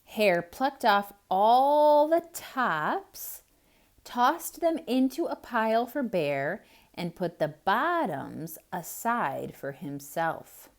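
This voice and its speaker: Slow, expressive woman reading to kids. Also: medium pitch. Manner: expressive, asking the listener questions. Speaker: children's storyteller. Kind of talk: theatrical read-aloud for kids.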